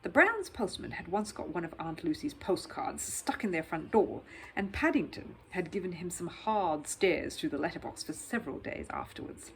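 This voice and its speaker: Female narrator for a children's book, lively and varied intonation, faintly noisy recording. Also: on the low side, normal speed, creaky. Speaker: storyteller reading a children's book. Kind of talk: expressive scripted narration.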